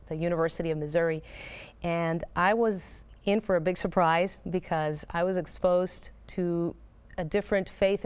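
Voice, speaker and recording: Smooth-voiced woman from Costa Rica. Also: lowish voice, a little mellow. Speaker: interview guest. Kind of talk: recorded interview.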